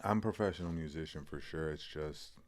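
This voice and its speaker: A man's voice: rumbling low, a little fast, no room sound. A young man co-hosting a podcast in casual, profane banter, laid-back and often trailing off. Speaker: podcast co-host.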